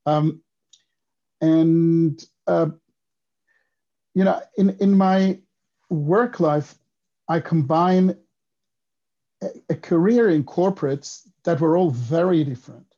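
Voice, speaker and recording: A man in his fifties from Switzerland, a guest on a podcast, telling his story in a reflective, unhurried way. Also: fairly high pitch, mellow, lo-fi sound. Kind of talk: casual interview talk.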